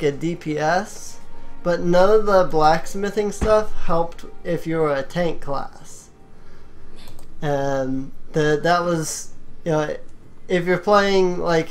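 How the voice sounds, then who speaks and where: A gaming host with a mid-pitched voice talks in casual gameplay chat. The delivery is unhurried and rambling, wandering through long explanations, with faint game music underneath. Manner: rambling, explains at length with anecdotes.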